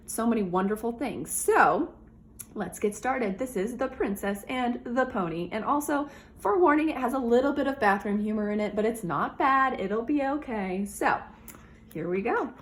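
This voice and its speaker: A woman teacher doing a read-aloud for children, talking directly to her young listeners in an animated way. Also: on the high side, moderate pace, a little hiss or hum.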